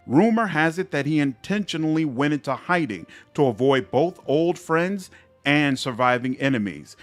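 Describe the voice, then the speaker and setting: A man narrating fantasy game epilogues in a measured, expressive storybook style. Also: medium pitch, no room sound, lightly acted. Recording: music under the voice.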